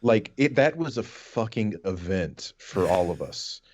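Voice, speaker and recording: A man's voice: lowish voice, sing-song, unhurried, darkish tone. A man in his thirties co-hosting a podcast, reminiscing casually in a rambling, sweary way. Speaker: podcast co-host.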